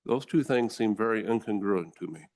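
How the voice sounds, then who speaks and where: A deep, low-pitched American man testifies before a legislative committee. He speaks with forceful conviction. He addresses the room directly, like a prosecutor making his case. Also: animated, fairly quick. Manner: forceful, earnest, addresses the room as ladies and gentlemen. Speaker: district attorney testifying.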